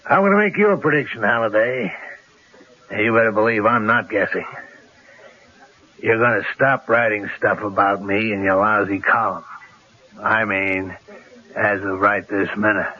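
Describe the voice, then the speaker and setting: Man playing a low-voiced, menacing radio crime boss. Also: moderate pace, pauses often, natural voice. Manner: threatening, taunting, colloquial. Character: menacing, mocking adult male crime boss. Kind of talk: 1970s radio drama acting. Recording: muffled old radio drama broadcast.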